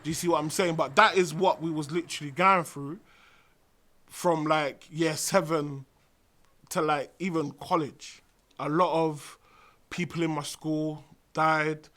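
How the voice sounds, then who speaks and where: South London man, flat reflective telling. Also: on the high side, a little slow. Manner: reflective, repeats "do you see what I'm saying". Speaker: documentary interviewee. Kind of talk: personal testimony.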